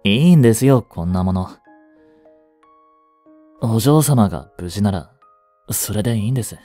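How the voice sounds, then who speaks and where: A man's voice: lowish voice, animated, moderate pace, faint music behind. A man playing a devoted young butler. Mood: tender. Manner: soft, gentle and intimate in the bedside scene; shouting and frantic while searching the fire. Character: devoted young male butler, protective and tender. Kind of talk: romantic voice drama acting.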